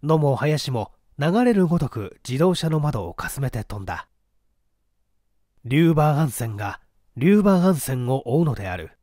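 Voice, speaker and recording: A man's voice: mid-range voice, sing-song, moderate pace, dark tone, no echo, studio quality. A man reading a novel aloud as a dramatic scripted narration. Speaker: audiobook narrator.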